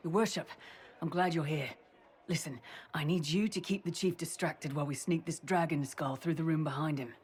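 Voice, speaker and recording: A good-natured young soldier in a fantasy game. The voice is very low for a woman and noticeably husky. The delivery is casual, earnest and a little sheepish. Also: steady tone, moderate pace, a little mellow. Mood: conspiratorial. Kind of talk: video game voice acting.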